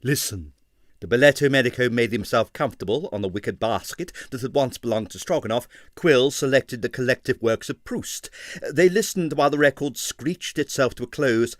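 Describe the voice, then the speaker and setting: A man's voice: mid-range voice, brisk, no echo, studio quality. A man reading an abridged novel aloud, narrating expressively and voicing the characters. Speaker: audiobook reader. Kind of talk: dramatized audiobook narration.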